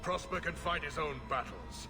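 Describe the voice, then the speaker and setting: Disdainful fighter, heavy vocal fry, menacing, game villain, reverberant, music behind. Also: male voice, fairly high pitch, animated, moderate pace. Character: proud contemptuous male bodyguard, menacing duelist.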